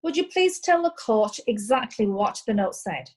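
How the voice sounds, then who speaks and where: Woman as a formal courtroom lawyer, slightly high voice. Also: big pitch swings, normal speed, darkish tone. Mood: formal, probing. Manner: formal, inquisitive. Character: brisk, formal courtroom lawyer questioning witnesses. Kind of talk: comic courtroom play acting.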